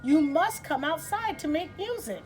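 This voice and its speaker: A woman voicing a brisk, insistent mother cricket who scolds and urges in a storybook. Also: high-pitched, lively intonation, a little mellow, faint music behind, pitched above the performer's own voice. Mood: scolding. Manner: scolding, urging.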